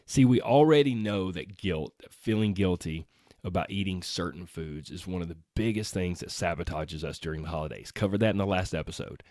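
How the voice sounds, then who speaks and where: A man hosting his podcast alone, his voice hoarse after being sick, talking in an upbeat, earnest way with frequent exclamations. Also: deep voice, expressive, fairly quick, darkish tone, dry recording, studio quality. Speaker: podcast host. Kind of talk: solo podcast talk.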